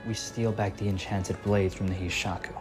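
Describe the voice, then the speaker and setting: Here is a grave young anime swordsman, steady and quiet, with a low-set voice. He explains things plainly and without fuss. A man performs him in a fan dub, and music plays clearly behind him. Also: moderate pace, natural voice. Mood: calm. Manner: quiet, steady, matter-of-fact. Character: calm, serious young swordsman.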